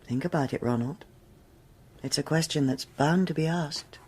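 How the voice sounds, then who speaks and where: A woman playing a crisp, commanding police superintendent in a British radio crime drama, formal and measured. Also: deep voice, darkish tone, a little hiss or hum, lightly acted. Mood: stern, controlled.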